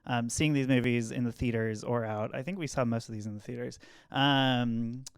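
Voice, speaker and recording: Easygoing man, smooth rambling co-host. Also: medium pitch, steady tone, normal speed, no echo, studio quality. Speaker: podcast co-host. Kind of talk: casual chat.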